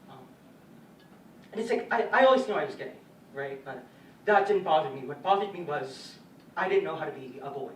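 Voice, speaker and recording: Distant, halting young male dancer played by a man. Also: medium pitch, close to an everyday voice. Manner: halting, hesitant, nervous stammers and restarts. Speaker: stage actor in a school or youth theatre production. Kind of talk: confessional theatrical monologue. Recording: roomy stage, distant mic, faint noise.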